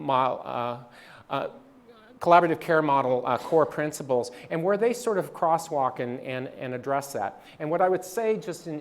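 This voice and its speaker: Halting man presenting slides. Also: a little high. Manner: halting, many fillers and restarts. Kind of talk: presenting slides at a livestreamed training session.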